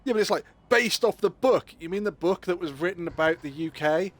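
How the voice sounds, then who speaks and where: A man's voice: high voice, animated, brisk. A British man streaming a game, chatting casually in a rambling, joking way and laughing often. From United Kingdom. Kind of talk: casual chat while playing a game live.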